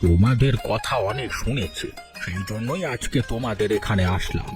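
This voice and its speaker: A man voicing a patient, humble old village schoolteacher in a cartoon, who speaks gently and calmly. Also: lowish voice, normal speed, music in the background, natural voice. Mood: gentle. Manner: gentle, calm, pleading. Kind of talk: cartoon voice acting.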